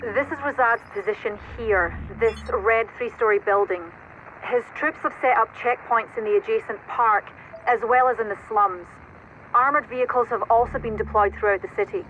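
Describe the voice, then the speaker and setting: An actress playing an efficient military operations officer, giving precise, businesslike briefings in a video game. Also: female voice, a little high, normal speed, close to an everyday voice. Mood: focused, formal. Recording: dull radio-like sound, noisy background.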